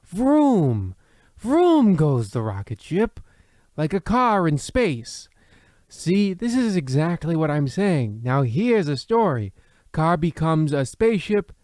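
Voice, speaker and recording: A man's voice: sits high, darkish tone, no room sound, heavily acted. A man playing a pompous, rambling, self-admiring host of an old-time radio mystery play, with theatrical delivery. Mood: grandiose.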